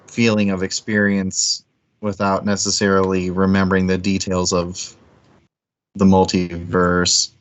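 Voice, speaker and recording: A man with a fairly low voice talks through a book in a loose, conversational way. He is frank and swears casually. The sound is a dull, lo-fi stream call. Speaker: book club stream co-host.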